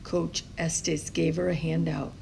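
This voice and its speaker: A woman with a creaky voice reading a children's story aloud expressively, as a storyteller. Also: low voice, little pitch movement, slow pace, a little mellow, slight background noise, poor audio. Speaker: storybook reader.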